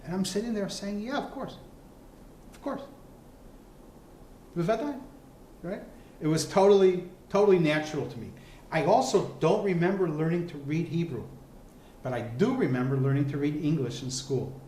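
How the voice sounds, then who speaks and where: A man's voice: a little high, moderate pace, long pauses, slight background noise. A man recalling family stories in an interview, repeating words as he thinks. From United States. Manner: animated storyteller, repeats words while thinking. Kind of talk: storytelling interview. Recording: echoey room.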